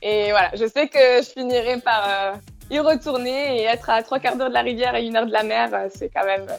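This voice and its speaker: A woman's voice: fairly high pitch, brisk, vocal fry, soft background music. A young woman from southern France with a southern French accent and slight Quebec traces, answering casually in an interview, often searching for her words. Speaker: interview guest.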